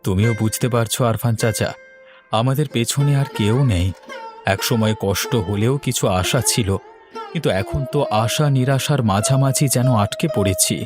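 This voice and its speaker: A man's voice: mid-range voice, normal speed, natural voice. A man voicing a poor, despairing village father in a melodramatic cartoon, plaintive and bitter. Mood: weary, bitter. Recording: music behind the voice. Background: background music.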